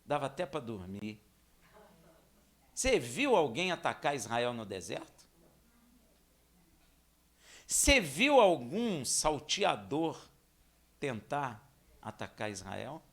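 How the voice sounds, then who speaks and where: A man from Minas Gerais with a Brazilian accent, preaching and teaching scripture in a conversational way, with rhetorical questions, dramatic emphasis and hushed asides. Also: mid-range voice, very animated, slow, long pauses. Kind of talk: expository sermon with scripture reading.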